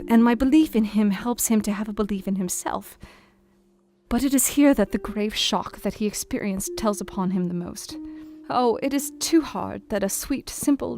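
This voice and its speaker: An actress playing a worried young Victorian wife, reading out her letter in an audio drama in a gentle, earnest, formal way. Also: mid-range voice, lightly acted. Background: guitar music.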